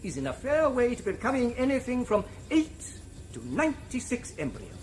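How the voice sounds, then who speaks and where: A man's voice: extremely high pitch, wildly swooping pitch, moderate pace, a little mellow, exaggerated voice. An actor playing a pompous male hatchery director who lectures a group of students with patronizing enthusiasm. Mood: lecturing. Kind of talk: 1990s radio drama acting.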